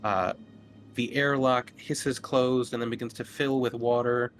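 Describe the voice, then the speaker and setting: A man narrating a tabletop horror game as game master in a halting, conversational way. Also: medium pitch, fairly even intonation.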